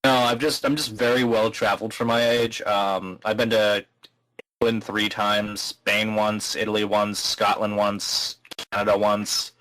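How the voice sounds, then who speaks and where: A man's voice: lowish voice, little pitch movement, a little slow, a bit bright, poor audio. A man chatting casually as a podcast guest, rambling and laughing often. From American. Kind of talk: casual chat.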